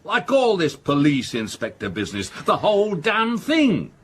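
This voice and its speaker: Casting: older well-to-do patriarch, radio drama, blustering and argumentative, hugely animated intonation. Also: male voice, on the high side, moderate pace, breathy edge, full character voice. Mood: triumphant bluster. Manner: emphatic, dismissive, argumentative. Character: blustering, self-assured middle-aged father of a well-to-do family. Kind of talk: period stage-play radio drama acting.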